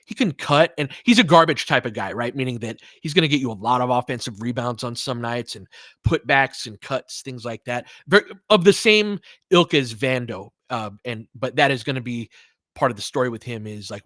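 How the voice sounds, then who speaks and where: A man's voice: mid-range voice, fairly bright tone, dry recording. A man giving casual, conversational basketball play-by-play and analysis, with short punchy calls and slangy language.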